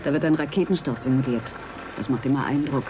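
An actress playing a helpful, dreamy cosmologist in a German radio drama, in a musing, explanatory manner. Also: female voice, extremely low pitch, fast, noisy background. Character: helpful, dreamy woman cosmologist, absorbed in space.

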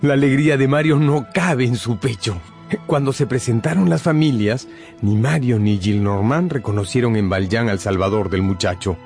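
A man's voice: medium pitch, moderate pace, a little mellow. A man narrating a scripted radio drama as a dramatic storyteller. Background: prominent music.

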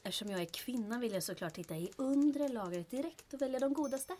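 An actress as a spirited woman resistance agent in an audio drama. Her voice sits fairly high and is expressive, quick to question and quick to coax. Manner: expressive, incredulous, coaxing.

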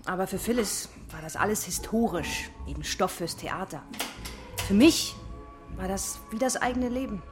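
A woman's voice: medium pitch, moderate pace, over music, close to an everyday voice. A woman playing a restless, intense young wife who longs for freedom, in a radio drama. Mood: confiding.

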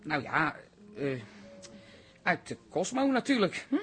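Cheeky older woman, dry and teasing, lively intonation, radio comedy. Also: low-pitched, measured pace. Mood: knowing, cheeky.

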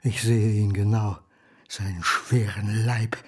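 Lonely bitter son, very slow delivery, rough breathy voice, theatrical, mocking, radio drama monologue. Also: male voice, on the low side, flat delivery. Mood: scornful, wounded. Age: middle-aged. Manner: theatrical, mocking, swinging between outbursts and whispers. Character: bitter, lonely illegitimate son accusing his absent father.

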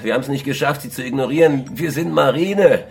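A man acting in a German radio drama as a captive navy lieutenant, halting and agitated, shaken yet defiant. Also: mid-range voice, gravelly, soft background music, natural voice. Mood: resigned, weary confession. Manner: halting, agitated, repeats himself.

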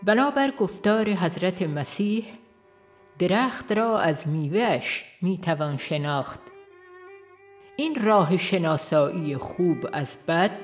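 Low-voiced woman reading an audiobook. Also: animated, faint music behind. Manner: measured, earnest reading with rhetorical questions. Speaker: audiobook reader. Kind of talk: scripted nonfiction narration.

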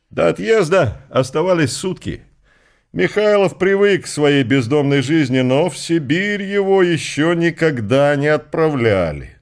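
A man narrating a literary radio drama with measured, expressive storytelling phrasing. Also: on the high side, creaky.

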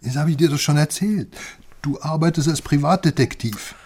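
A man's voice: fairly high pitch, moderate pace, a little mellow, slightly rough. An actor playing a chatty, cheeky male friend and curious outsider, teasing and conspiratorial, in a radio crime drama. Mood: eager, persuasive.

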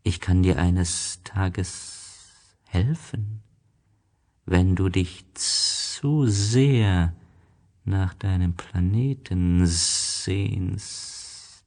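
A mysterious desert snake from a storybook, acted by a man, solemn and powerful. It draws out every word very slowly, with a gravelly husk in the voice. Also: deep voice, darkish tone, full character voice. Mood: solemn, ominous. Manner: slow, drawn-out, riddling delivery.

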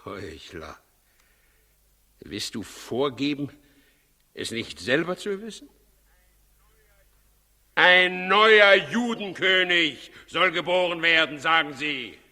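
A very sharp, forceful voice in a long-reverberating hall: an imperious tyrant king in a 1960s radio drama. He commands and questions with impatient sarcasm and suspicion, always ready to threaten. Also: fairly high pitch, very animated, slow pace, pauses often, full character voice. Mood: menacing, suspicious. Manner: commanding, sarcastic, menacing interrogation. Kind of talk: 1960s German radio play acting.

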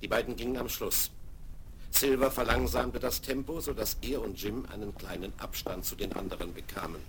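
A man narrating a children's radio play in a calm, even storytelling manner. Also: on the low side, normal speed, background noise. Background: music underneath.

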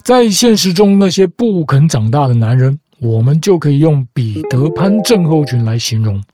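Host, male, even-toned scripted narration, music bed underneath. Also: fairly high pitch, normal speed, no room sound, studio quality. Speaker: podcast host. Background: background music.

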